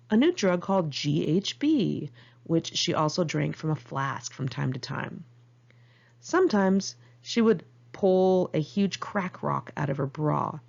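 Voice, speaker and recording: American woman, informal memoir narration, lively swooping intonation, fry at the edges, candid, wry, dull homemade recording. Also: low voice. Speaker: author reading own memoir.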